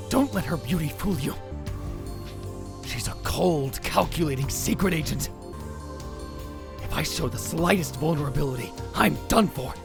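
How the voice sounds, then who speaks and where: Pompous, paranoid young mad scientist. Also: male voice, mid-range voice, vocal fry. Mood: suspicious. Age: young adult. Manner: pompous, melodramatic, swinging from hushed muttering to outbursts. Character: paranoid, grandiose young male self-proclaimed mad scientist. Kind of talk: theatrical visual novel fan dub, narration and dialogue.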